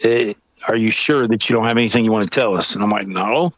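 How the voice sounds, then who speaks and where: A middle-aged man telling a story in a casual, comedic way. He is animated, acts out other people's lines and laughs often. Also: fairly low pitch, quick pace, no room sound. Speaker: storyteller guest.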